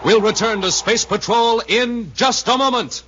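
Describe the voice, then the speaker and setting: A man announcing and pitching as on 1950s radio, with punchy, exuberant salesman delivery. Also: a little slow, very noisy. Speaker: announcer and narrator. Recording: muffled, roomy 1950s radio recording.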